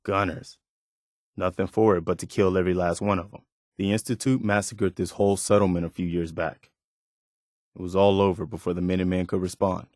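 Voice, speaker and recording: A man's voice: low voice, little pitch movement, fairly quick, a little mellow, no echo, studio quality, natural voice. A man playing an earnest, loyal militia officer in a video game, speaking sincerely and plainly with a sense of duty. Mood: grim. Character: earnest, steadfast militia officer, loyal adult male companion. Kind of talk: acted video game dialogue.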